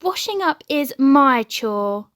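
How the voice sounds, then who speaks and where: A woman's voice: high-pitched, expressive, takes it slowly, dry recording, clean studio sound, pitched above the performer's own voice. A woman voicing a flustered, kindly, easily exasperated father in a children's storybook, with an exclamatory, protesting manner.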